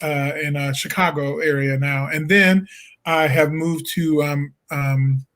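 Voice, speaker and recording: A man's voice: a little high, fairly even intonation, measured pace, fairly bright tone. A young man from the Midwest, a jazz singer, answering interview questions in an easygoing way with frequent laughs and fillers. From Peoria, Illinois. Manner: easygoing, laughs often, many fillers and restarts. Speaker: jazz singer and songwriter, guest.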